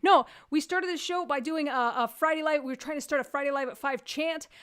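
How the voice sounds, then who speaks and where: High-voiced Texan woman hosting with jokes. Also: fairly bright tone. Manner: joking, rambling, quick asides. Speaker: comedian hosting a live stream. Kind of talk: casual comedic chat.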